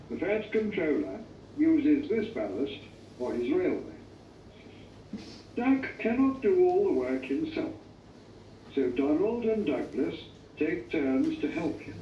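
A man slowly reading a children's railway story aloud, gently slipping into character voices. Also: fairly high pitch, highs cut off, a little hiss or hum. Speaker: storybook reader. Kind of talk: slow storybook read-aloud. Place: exhibition room with a crowd chatting nearby. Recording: distant echoey playback in a crowded exhibition hall.

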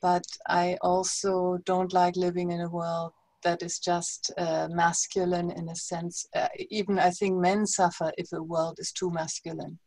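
A woman's voice: lowish voice, completely flat delivery, a little slow, few pauses, a little mellow, no room sound, low-quality recording. A woman introducing herself on a video call in a rambling way, laughing easily. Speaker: participant in a women's circle.

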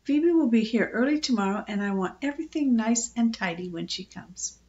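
A woman voicing a no-nonsense woman of a farmhouse in a children's storybook, brisk and stern. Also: on the high side, expressive, a little mellow, dull audio, lightly acted. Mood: cross, firm. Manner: brisk, stern, matter-of-fact. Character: firm, sensible, no-nonsense woman who keeps a farmhouse.

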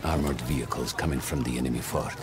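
A very low, grave old veteran's voice recounts war memories in a somber, reflective way. It is dramatic video game narration, with loud music and ambience behind it. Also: male voice, steady tone. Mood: grave, urgent. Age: older. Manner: slow, grave, reflective storytelling.

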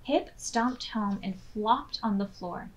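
A woman's voice: medium pitch, slow pace, highs cut off. A woman, reading a picture book expressively for preschoolers. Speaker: children's storytime reader. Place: small furnished room indoors.

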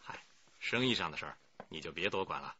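Sharp, irritable cheating husband. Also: male voice, low-pitched, expressive, quick pace, lo-fi sound, a little hiss or hum, heavily acted. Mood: irritated, scornful. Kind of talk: radio drama acting.

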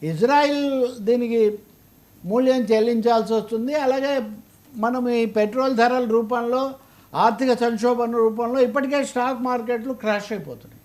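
A man with a very high-pitched voice and a Telugu accent delivers political commentary. He is emphatic and argumentative, often pressing his points with rhetorical questions. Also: moderate pace, darkish tone.

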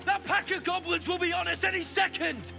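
A man playing a panicked fighter in a fantasy game, shouting urgently and desperately. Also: very high voice, fairly even intonation, brisk, creaky, music in the background, full character voice. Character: panicked male fighter fleeing goblins. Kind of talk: cinematic fantasy game acting.

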